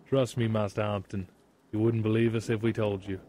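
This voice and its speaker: A man's voice: lowish voice, steady tone, normal speed, mellow, close to an everyday voice. A man playing a weary, irreverent adventurer in a fantasy audio drama. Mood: amused.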